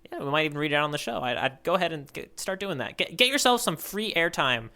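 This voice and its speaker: A man's voice: on the high side, lively intonation, fast talker, pushed and bright. A man co-hosting a casual podcast about manga, chatting in a playful, bantering way. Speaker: podcast co-host.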